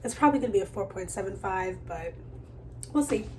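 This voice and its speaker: A woman's voice: medium pitch, animated, fairly quick, dark tone, poor audio. Young woman doing a casual book vlog update, gushes a lot, pretty noticeable background noise. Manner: gushing, enthusiastic. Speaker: book vlogger. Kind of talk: casual reading vlog chat to camera. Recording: clear ambient background noise.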